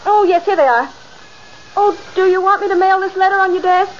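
An actress playing a devoted, well-bred young daughter, earnest and polite in expressive 1940s radio style. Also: female voice, very high voice, a little fast. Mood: solicitous, affectionate. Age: young adult. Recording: muffled, noisy old radio recording.

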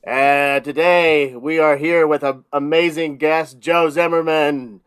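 A man's voice: a little high, steady tone, takes it slowly, smooth. Giggly, rambling podcast host man. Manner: giggly, rambling, laughs often. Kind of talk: casual podcast chat.

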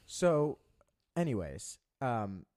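A man's voice: medium pitch, big pitch swings, very slow, darkish tone. A young man co-hosting a podcast, telling a story in a rambling, profane way with many restarts. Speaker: podcast co-host. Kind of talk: casual chat.

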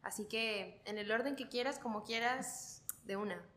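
A woman's voice: on the high side, normal speed, fairly bright tone. A woman hosting a podcast, moving between scripted introduction and casual interview talk. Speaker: podcast host.

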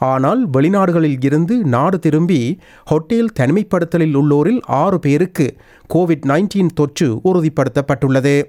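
A man reading a scripted news bulletin as presenter, in a formal, measured manner. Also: fairly high pitch, a little mellow.

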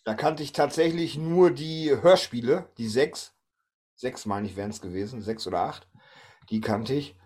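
A man's voice: mid-range voice, moderate pace, a little mellow, slightly rough. A man hosting a podcast in casual chat. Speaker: podcast host.

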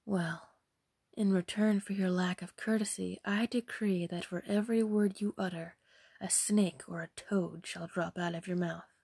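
A woman voicing a calm, stern fairy in a storybook, measured and unruffled. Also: on the low side, creaky, breathy edge, dry recording, clean studio sound, full character voice. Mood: calmly stern. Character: calm, stern female fairy disguised as a princess.

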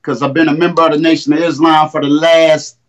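Boastful fifty-year-old man, slightly high voice. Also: little pitch movement, fairly quick, lo-fi sound. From Indiana, US. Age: 50s. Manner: boastful, streetwise slang. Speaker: guest, rapper and coach. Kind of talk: casual interview. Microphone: makeshift mic, not a studio one.

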